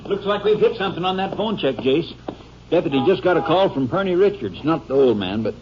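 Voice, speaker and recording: A man's voice: fairly high pitch, lively intonation, fairly quick, lightly acted. A man playing a folksy, talkative country sheriff in a 1950s radio drama. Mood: folksy, eager. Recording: muffled, noisy old-time radio recording.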